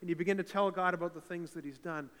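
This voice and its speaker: Fervent preacher with a big voice. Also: male voice, sits high, steady tone, quick pace. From eastern Canada. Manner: fervent, builds to loud emphatic peaks. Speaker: pastor. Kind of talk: sermon. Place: church sanctuary. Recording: reverberant church hall.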